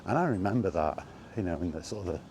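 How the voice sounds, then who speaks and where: A middle-aged man in a casual, rambling vlog chat, speaking conversationally with halting pauses while out walking. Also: deep voice, lively intonation, vocal fry, darkish tone. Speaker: gaming vlogger. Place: outdoors, walking. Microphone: microphone with wind guard. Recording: windy outdoor recording, background noise.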